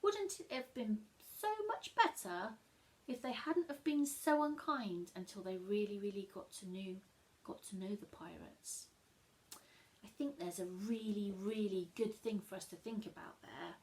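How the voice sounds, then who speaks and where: A woman reading a storybook aloud to children as a teacher would, animated and emphatic, talking directly to young listeners. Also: mid-range voice, measured pace.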